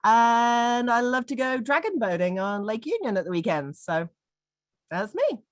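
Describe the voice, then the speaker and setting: A woman's voice: fairly high pitch, sing-song, unhurried, no room sound. A woman from Dublin with an Irish accent, chatting casually as a panel guest. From Dublin, Ireland. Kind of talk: casual chat.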